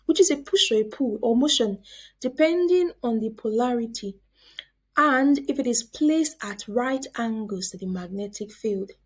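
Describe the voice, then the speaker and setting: High-pitched woman explaining as a teacher. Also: slightly rough. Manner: deliberate, explanatory, poses questions then answers them. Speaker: science teacher and presenter. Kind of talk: scripted educational narration.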